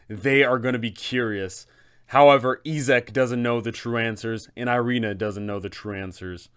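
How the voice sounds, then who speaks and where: A man in his thirties explaining tabletop game mastering to camera, enthusiastic and emphatic, with dramatic pauses. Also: medium pitch, clear, resonant tone.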